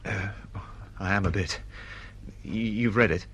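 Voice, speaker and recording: A man's voice: on the low side, a little slow, fairly bright tone, a little hiss or hum. An actor playing an insecure, self-pitying middle-aged male writer in a naturalistic radio drama. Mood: hesitant.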